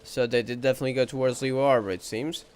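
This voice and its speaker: A man's voice: medium pitch, steady tone, fast talker, darkish tone, smooth. A man giving casual commentary as he plays a game, thinking aloud in short remarks. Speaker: game streamer. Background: game music.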